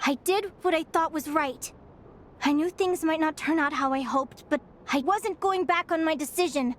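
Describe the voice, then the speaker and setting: A woman voicing an earnest young Fae girl in a game cutscene, talking in a soft, reflective, confiding way. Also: sits high, normal speed, close to an everyday voice.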